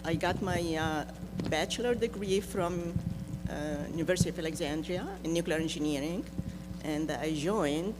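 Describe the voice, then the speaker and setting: An older woman with an Egyptian accent speaks informally on a panel. Her voice is fairly low for a woman, and a clear hum runs behind it. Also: normal speed. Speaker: nuclear engineer, panelist. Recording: noisy room with clear hum.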